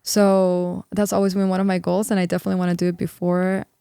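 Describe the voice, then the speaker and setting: A woman's voice: on the low side, level tone, fast, creaky, dark tone, clear, resonant tone, dry recording, studio quality. A woman in her twenties, from Peru, a singer and worship leader talking in an earnest, upbeat way, with lots of "like"s, as an interview guest. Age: 20s. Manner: earnest, upbeat, filler-heavy with many "like"s. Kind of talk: casual interview chat.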